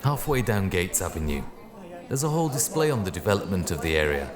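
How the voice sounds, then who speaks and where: An actor playing an obliging, gently teasing middle-aged local man in an audio drama. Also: low voice, big pitch swings, a little fast, crowd noise. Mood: helpful, reflective. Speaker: local interviewee. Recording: noisy background ambience.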